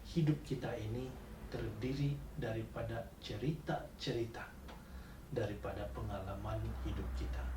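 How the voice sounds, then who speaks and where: A Sabahan man in his forties delivers a devotional talk in a measured, deliberate voice with unhurried pauses. The mic sits well away from him in a reverberant room. A steady layer of background noise runs underneath. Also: mid-range voice, recorded from a distance. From Sabah, Malaysia. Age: 40s. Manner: measured, deliberate, pausing with "ah" fillers. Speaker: church youth and chaplaincy director. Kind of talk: devotional talk for a spiritual retreat.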